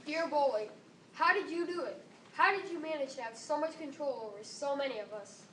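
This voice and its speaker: A woman's voice: high-pitched, lively intonation, brisk, a bit bright. A seventh-grade girl performing a scripted readers theater monologue. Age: about 12 to 13, seventh grader. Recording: echoey room, distant mic, faint noise.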